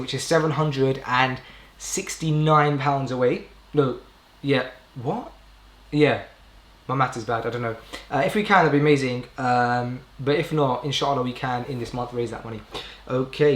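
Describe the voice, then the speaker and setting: A young British man hosting a casual live stream, talking informally in a rambling way and often correcting himself. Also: mid-range voice. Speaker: podcast host live streaming. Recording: roomy indoor echo.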